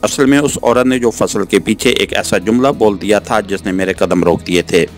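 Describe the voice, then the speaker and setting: Guy with a lowish voice reading a story audiobook style, bit of music behind him. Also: normal speed. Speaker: audiobook narrator. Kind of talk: scripted first-person novel narration. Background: background music.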